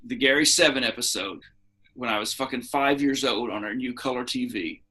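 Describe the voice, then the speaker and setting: Profane, combative man, bright and rough-edged. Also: medium pitch, fairly quick. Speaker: podcast co-host. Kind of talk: casual heated podcast chat.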